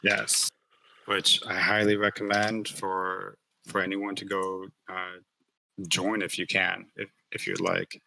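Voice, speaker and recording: A man's voice: deep voice, monotone, slow, gravelly, bright, forceful tone, poor audio. A man guesting on a casual livestream interview, joking and easygoing. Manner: joking, easygoing, self-deprecating. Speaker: guest artist.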